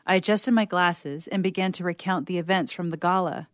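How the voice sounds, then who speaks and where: A woman playing an uneasy doctor who recounts events in the first person, reading a suspense story in a measured, solemn way. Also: on the low side, no room sound, clean studio sound, close to an everyday voice. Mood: grave, uneasy. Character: uneasy, secretly sinister doctor testifying as sole survivor.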